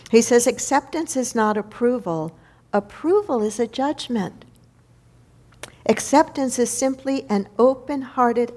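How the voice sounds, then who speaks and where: A woman minister delivering a reflective spiritual sermon, slowing down to stress key words. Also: medium pitch, darkish tone. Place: church sanctuary.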